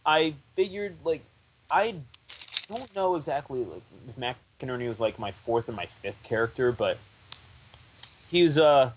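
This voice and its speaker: A man's voice: mid-range voice, lively intonation, a little slow, muffled recording, a little hiss or hum. Young guy rambling on a podcast, like every other word, medium voice. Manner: rambling, full of "like" and restarts. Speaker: podcast guest. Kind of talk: casual interview chat.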